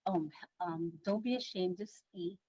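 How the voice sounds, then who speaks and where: A woman from Hong Kong, a native Tagalog speaker, tells personal stories casually as a guest, often pausing to search for words. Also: on the low side, dark tone, slightly rough, no echo. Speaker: guest, congregation secretary. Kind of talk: casual conversational storytelling.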